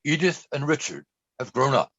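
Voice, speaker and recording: A man's voice: mid-range voice, big pitch swings, normal speed, fairly bright tone, no room sound, highs cut off. A man narrating a radio play, setting scenes in a scripted delivery.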